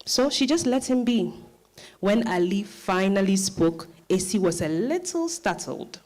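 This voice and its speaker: A woman narrating a novel in a measured, literary storytelling style. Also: medium pitch, expressive, a little mellow.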